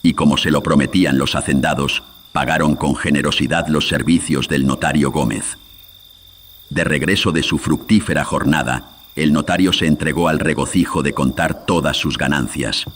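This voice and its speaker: A male storyteller with an extremely low, filter-processed voice. He narrates horror legends solemnly from a script, with faint ambient noise behind him. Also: fairly even intonation, normal speed, fairly bright tone. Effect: processed with audio filters.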